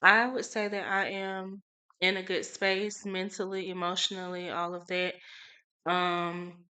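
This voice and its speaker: A young woman hosting a casual solo podcast, chatty and relaxed with many fillers, a little stuffy from a cold. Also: lowish voice, measured pace, smooth. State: congested from a cold. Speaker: podcast host.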